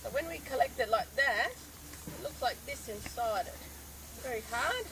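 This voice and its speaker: An Australian woman park ranger explaining things on a guided walk in the bush, unhurried and a little hoarse, pausing with ums and restarting her sentences. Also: on the high side, lively intonation. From northern Australia. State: slightly hoarse, losing her voice. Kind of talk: outdoor guided demonstration. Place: outdoors in the bush. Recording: outdoor recording with clear natural background noise.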